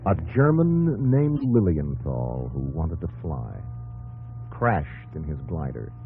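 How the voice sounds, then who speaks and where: An American actor playing a solemn, probing narrator in dramatic 1950s radio style, addressing the hero directly. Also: male voice, rumbling low, very animated, slow, heavily acted. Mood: hushed, suspenseful. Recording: muffled old radio broadcast, music underneath.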